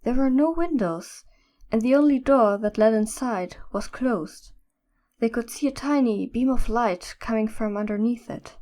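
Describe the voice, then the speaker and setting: Fairly high-voiced woman narrator. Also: measured pace, dark tone. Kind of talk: scripted audio drama narration.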